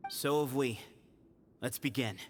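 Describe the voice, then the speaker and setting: A man's voice: mid-range voice, measured pace, long reverb tail, slight background noise, lightly acted. A man voicing a self-assured, casual young challenger in an anime video game. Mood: confident. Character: confident, breezy young male temple challenger. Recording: background music.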